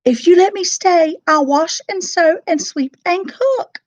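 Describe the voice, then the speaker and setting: A woman's voice: very high-pitched, a little slow, dry recording, dull audio, voice pushed up. A woman voicing a sweet young princess in a children's storybook, earnest and pleading. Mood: eager, promising.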